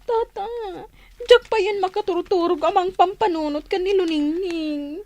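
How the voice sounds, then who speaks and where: A woman's voice: very high-pitched, normal speed, a little mellow. A woman playing a distressed, indignant young villager in a radio drama, speaking in a plaintive, emotional way. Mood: anxious. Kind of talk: Ilocano radio drama acting.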